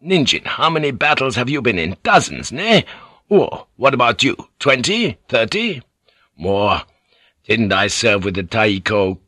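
A man's voice: mid-range voice, big pitch swings, a little slow, sharp tone, no room sound, clean studio sound, heavily acted. A man voicing a defiant village headman and old fighter in an audiobook, emphatic, persuasive and earthy. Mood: rousing, defiant.

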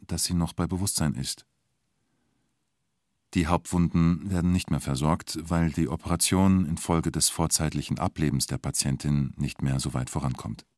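A man acting as a detached true-crime narrator in a radio drama. His voice is deep, and he speaks in terse, matter-of-fact sentences in a dry, close studio. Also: darkish tone, dry recording, natural voice.

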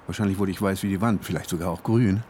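An actor playing a troubled, intense male scientist who narrates his own ordeal in measured radio drama style. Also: sits low, steady tone, darkish tone, a little hiss or hum, close to an everyday voice. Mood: tense. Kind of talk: German radio drama, literary first-person narration.

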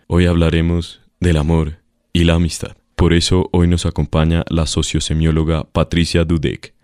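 A man's voice: sits low, level tone, normal speed, a little mellow, clear, resonant tone, no echo, studio quality, natural voice. A man playing a vain, pompous radio host with a formal announcer's delivery and a Colombian accent. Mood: smooth, controlling. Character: vain, self-satisfied radio host with style. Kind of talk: satirical radio play acting.

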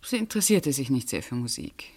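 A woman's voice: very deep voice, sing-song, brisk, a little mellow, exaggerated voice. Guarded woman witness in a radio crime play, precise controlled answers. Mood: composed, precise. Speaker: witness under questioning. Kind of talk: German radio crime drama acting.

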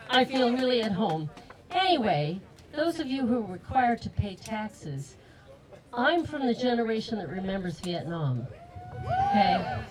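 A woman's voice: on the high side, rough recording. An older woman from the United States with an American accent, speaking chattily at an outdoor street rally through a PA. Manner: chatty, laughing between lines. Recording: outdoor rally PA, crowd behind.

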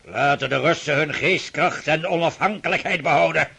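An actor playing an irascible, domineering old Russian prince who rants and shouts in a radio play. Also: male voice, on the high side, normal speed, creaky, husky, heavily acted. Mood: lecturing. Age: older.

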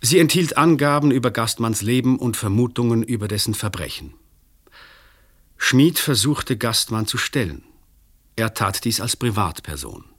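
A man's voice: medium pitch, expressive, normal speed, a bit bright. An actor playing a weary, disillusioned male examining magistrate in a German radio play, speaking formally and rhetorically. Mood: sober, resigned.

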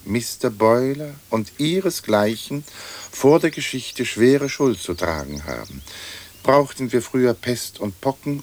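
An actor playing a haughty old British lord, a ghost speaking in ornate, pompous German radio play style. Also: male voice, mid-range voice. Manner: pompous, ornate aristocratic speech.

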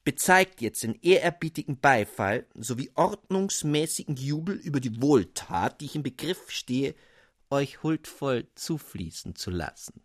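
A man's voice: mid-range voice, lively intonation, unhurried, no echo, clean studio sound. A man voicing a tiny, pompous gnome king who speaks in flowery, grandiloquent and fawning phrases in a storybook reading.

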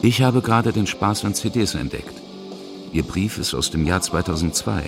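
A man's voice: low-pitched, animated, moderate pace, creaky. A man narrating a scripted radio feature. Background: music.

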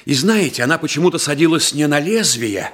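An actor playing an astute, composed doctor-detective in a Russian radio play, speaking in a controlled, deliberate, accusing way. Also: male voice, on the high side, lively intonation, a bit bright. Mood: accusing, explanatory. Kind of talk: measured theatrical Russian radio drama acting.

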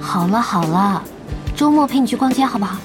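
A woman's voice: medium pitch, expressive, brisk, music in the background, natural voice. A woman playing a sweet, easygoing young friend in an audio drama. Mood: sweet, placating.

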